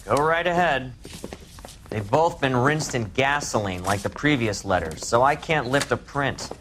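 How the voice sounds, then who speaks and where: An actor playing a calm, analytical police forensics man in a video game, speaking matter-of-factly. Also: mid-range voice, a little slow, slight background noise. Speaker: police forensic technician character. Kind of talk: dramatic video game acting.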